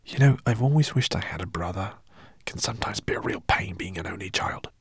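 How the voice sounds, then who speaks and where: A man's voice: medium pitch, very animated, unhurried, gravelly, no room sound, clean studio sound, voice pushed up, full character voice. A man voicing a friendly young girl, eager and confiding, in a storybook. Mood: whispering, confiding.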